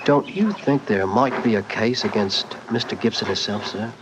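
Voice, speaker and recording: An actor playing a deferential local English police sergeant with colloquial, rustic speech in a 1940s radio drama. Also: male voice, on the low side, normal speed, close to an everyday voice. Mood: confiding. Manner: colloquial, rustic grammar, respectful. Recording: muffled, noisy 1940s radio recording.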